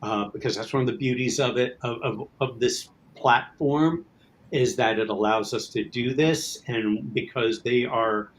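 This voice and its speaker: A man's voice: medium pitch, steady tone, measured pace, slight background noise, low-quality recording. A man speaking as a guest in a casual promotional interview, talking in a rambling, conversational way about his creative work. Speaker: guest, writer-producer of an audio drama.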